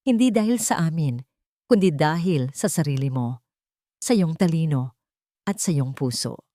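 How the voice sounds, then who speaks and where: A woman's voice: low voice, lively intonation, normal speed, warm tone, dry recording, clean studio sound. A woman with a Filipino accent voicing a dignified, affectionate older father in a storybook narration, formal and earnest. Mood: sincere.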